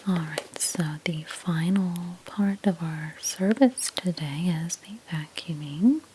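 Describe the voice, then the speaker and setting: Woman, soft-spoken ASMR roleplay narrator: breathy near-whisper, lowish pitch, very unhurried, gentle step-by-step explaining. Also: background noise. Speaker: ASMR creator playing a house cleaner.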